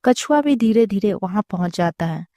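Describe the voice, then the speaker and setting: Female storyteller for kids' tales, soft dark tone, very dry close recording. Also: mid-range voice, animated, normal speed, no echo. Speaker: children's storyteller. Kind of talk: scripted story narration.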